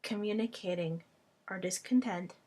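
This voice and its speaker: A woman whose first language is Hungarian, a language tutor speaking to camera in a personal vlog, in short, halting phrases. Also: medium pitch, warm tone.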